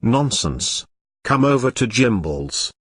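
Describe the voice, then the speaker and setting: An actor playing a brash, teasing store owner, assertive, in a 1940s radio drama. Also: male voice, medium pitch, very animated, takes it slowly, breathy edge, no echo, studio quality, heavily acted. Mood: teasing, competitive.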